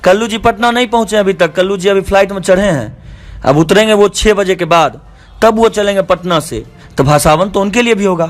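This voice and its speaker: A man's voice: high voice, a little fast. A man with a Bihari accent in an argumentative phone conversation, insistent and repeating himself. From Bihar, India. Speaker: stage show artist and organiser. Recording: recorded phone call with faint music.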